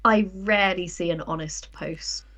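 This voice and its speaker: A woman in her thirties chatting casually as an interview guest, telling things in an animated way and acting out imagined quotes. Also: lowish voice, a little slow, distant mic. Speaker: guest, writer.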